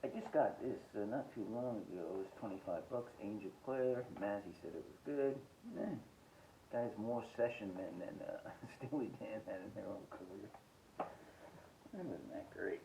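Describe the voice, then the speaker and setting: A man hosting a casual, rambling music collection review, listing titles haltingly and giving blunt, short verdicts. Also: on the low side, gravelly, dark tone, a little hiss or hum, rough recording. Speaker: host of a music collecting podcast.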